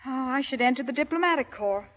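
A woman's voice: high-pitched, expressive, fast talker, a bit bright. An actress playing a dry, unruffled, wry wife and mother with an American accent in 1950s radio style. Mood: dryly amused.

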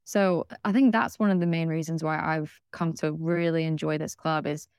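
A woman's voice: sits low, fairly even intonation, normal speed, a little mellow, clean tone, dry recording, studio quality. A young American woman, a podcast guest, talking enthusiastically in a casual interview, with lots of fillers and restarts. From United States.

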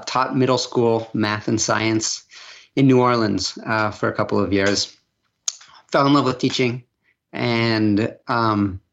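Male guest from Venezuela, creaky mid-pitched voice, measured storytelling, muffled lo-fi line. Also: a bit bright. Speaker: guest, education nonprofit founder. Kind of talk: casual interview answers.

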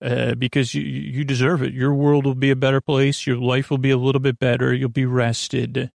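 Gravelly-voiced man rambling gently at bedtime. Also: mid-range voice, level tone, no echo. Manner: meandering, halting, self-interrupting sentences that trail off; gentle, reassuring, conversational. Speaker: podcast host. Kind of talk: rambling, soothing bedtime podcast monologue.

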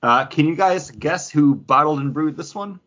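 A man's voice: a little high, normal speed, a bit bright, muffled recording. A man hosting a podcast, giving casual, enthusiastic reviews in an informal, emphatic way. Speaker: podcast host reviewing beer and comics.

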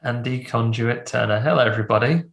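Snuffly man with a cold, gravelly voice. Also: medium pitch, fast. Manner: chatty, rambling, restarts often. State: stuffy nose from a cold. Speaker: podcast co-host. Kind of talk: casual chat. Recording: echoey room.

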